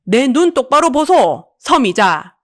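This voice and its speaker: A woman voicing a gruff, caring man who sells street food, with a blunt, scolding Busan dialect in an audio drama. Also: high voice, very animated, slow pace, pitched above the performer's own voice, heavily acted. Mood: firm, intense. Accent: Busan Gyeongsang dialect. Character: gruff, burly, secretly caring male pancake vendor.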